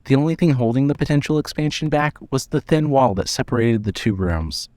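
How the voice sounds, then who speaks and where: A man narrating a scripted dramatic story in a measured reading manner. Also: mid-range voice, darkish tone, no echo.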